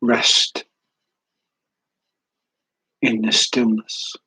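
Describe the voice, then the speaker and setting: Male meditation guide, soft breathy husky voice, even pitch, calm and unhurried, long pauses, close dry sound. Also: no room sound, poor audio. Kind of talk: guided meditation, slow spoken instruction.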